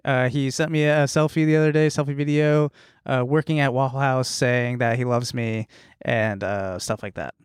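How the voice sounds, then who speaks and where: A man's voice: mid-range voice, fairly even intonation, moderate pace, clear, resonant tone, no echo. A man co-hosting a casual comedy podcast, playful and sweary, speaking close to the microphone. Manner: playful, joking, profane. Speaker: podcast co-host.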